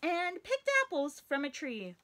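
A young woman with a high, bright voice leads a children's story time. She reads slowly in a sing-song, animated way, swooping up and down and adding playful animal noises. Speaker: children's librarian. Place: small indoor room, wood-panelled.

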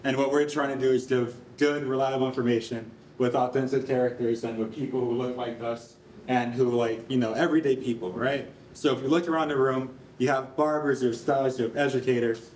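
A man's voice: medium pitch, fairly even intonation, a little fast, a little mellow. A young adult man giving a loose live presentation in a casual, off-the-cuff manner. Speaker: presenter and artist. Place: large concrete rehearsal hall. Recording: echoey hall, distant, faint background noise.

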